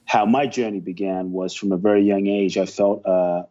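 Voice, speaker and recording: Earnest male interview guest, low mellow voice, muffled lo-fi sound. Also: steady tone. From Ghana, raised in London. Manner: earnest, heartfelt, many "uh" pauses. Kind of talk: reflective storytelling interview.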